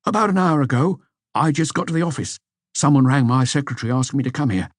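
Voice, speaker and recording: A man's voice: mid-range voice, fast talker, no room sound, clean studio sound, pitched above the performer's own voice, lightly acted. A man voicing a hesitant, deferential police inspector giving a report in an audiobook. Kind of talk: audiobook character dialogue.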